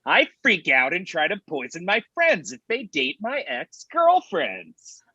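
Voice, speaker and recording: A man acting as a grumpy, lovelorn young man in a comedy table read, confessing earnestly and haltingly. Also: high-pitched, huge pitch swings, pushed and bright, husky, dry recording, highs cut off, exaggerated voice. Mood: earnest, confessional.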